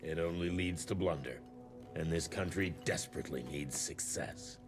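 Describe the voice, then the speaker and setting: A man's voice: a bass voice, normal speed, over music. A man with an American accent playing a pompous, profane, impatient government official in a video game cutscene. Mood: cold, formal.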